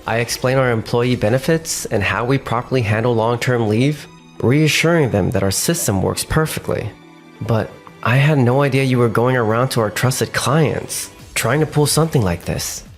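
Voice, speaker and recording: Guy acting nice reliable office man, manga dub, polite n measured, normal pitch, music in back. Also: lightly acted. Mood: disappointed. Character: earnest, dependable, courteous man of the office world. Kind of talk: anime-style dramatized dub acting and narration. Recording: music bed behind the voice.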